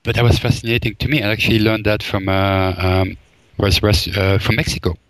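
Low-voiced Belgian-born man, dry sound. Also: steady tone, brisk, no room sound, poor audio. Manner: thoughtful, many fillers and restarts. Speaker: forager and wild food chef, guest. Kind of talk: conversational interview answers.

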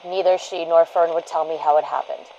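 A sombre witness recounting in an audio drama. Her voice is dark and subdued, heard through a dull recording with faint noise. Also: low voice, steady tone, fairly quick, vocal fry. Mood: sombre, recollecting. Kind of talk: audio drama acting, recollection.

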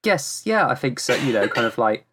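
A man's voice: medium pitch, very animated, fairly quick, background noise. A man guesting on a podcast, explaining things in a loose, rambling, casual way. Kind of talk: casual chat.

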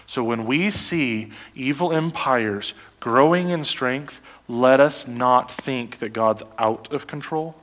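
Male pastor, sermon delivery, animated and questioning, medium pitch. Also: takes it slowly, hardly any pauses. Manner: animated, rhetorical questions to the congregation.

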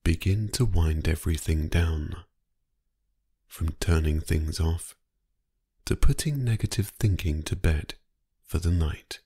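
A man narrating a guided sleep meditation in a calm, soothing, hypnotic manner with long pauses. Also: very deep voice, husky, no room sound, clean studio sound.

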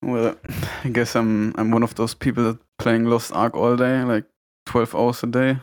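A man in his twenties from Bavaria with a German accent, a podcast guest chatting in a relaxed way and sometimes searching for words. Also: medium pitch, normal speed, smooth, dry recording, studio quality. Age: 20s. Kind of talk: casual gaming podcast chat.

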